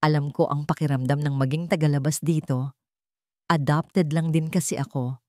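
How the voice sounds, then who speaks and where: A woman narrator voicing a friendly young woman with a soft, gentle, reassuring manner in a story. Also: low-pitched, fairly even intonation, moderate pace, no echo, studio quality, close to an everyday voice. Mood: gentle, comforting.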